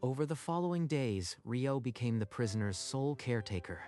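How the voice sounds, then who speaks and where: A man's voice: mid-range voice, lively intonation, fairly quick, a little mellow. A man reading scripted, dramatic story narration for a manga dub. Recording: music behind the voice.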